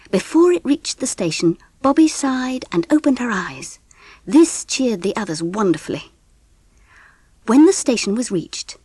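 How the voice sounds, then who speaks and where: Audiobook narrator: gentle English mother, husky, animated, faint music underneath. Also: a little high, no room sound. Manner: expressive storytelling. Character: proud, gentle English mother telling the story. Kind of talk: scripted storybook narration.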